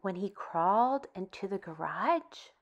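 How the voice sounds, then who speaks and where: A woman hosting a storytime for young children, reading and chatting in an animated, expressive, deliberate way. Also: mid-range voice, highs cut off. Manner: animated, expressive, deliberate phrasing with dramatic hushes. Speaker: storytime host. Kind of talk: children's read-aloud, addressing young children.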